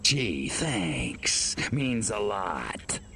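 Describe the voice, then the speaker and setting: A man's voice: lowish voice, very animated, very slow, pushed and bright, a little hiss or hum, heavily acted. A man playing a cocky, wisecracking alien invader in a game cutscene, sardonic and drawling. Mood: dry sarcasm. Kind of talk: video game cutscene acting.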